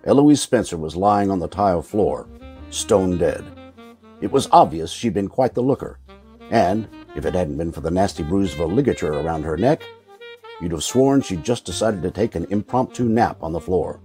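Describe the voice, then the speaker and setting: Low, mellow, hardboiled private eye played by a man. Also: expressive, normal speed, soft background music. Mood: dry, sardonic. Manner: dry, sardonic. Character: wry, world-weary, hardboiled male private eye. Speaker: lead actor and narrator. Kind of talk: old-time radio noir detective narration.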